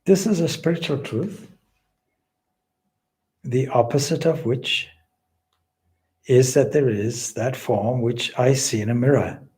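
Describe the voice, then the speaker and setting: A man leading a guided meditation as a spiritual teacher, speaking in measured, deliberate, solemn phrases with long pauses. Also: medium pitch, a little mellow.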